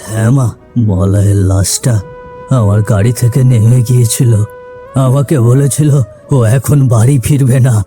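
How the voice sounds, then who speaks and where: A man's voice: mid-range voice, fairly even intonation, moderate pace, mellow, soft background music, natural voice. Man voicing an earnest older village uncle. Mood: earnest, troubled. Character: older village man, guilt-laden, earnest family acquaintance. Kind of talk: cartoon story dialogue.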